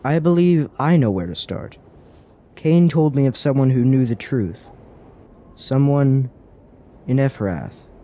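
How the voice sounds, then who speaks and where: An actor playing a thoughtful, questioning adventurer in a fantasy radio drama, earnest and persuasive, with formal phrasing. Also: male voice, mid-range voice, animated, a little slow, long pauses, warm tone.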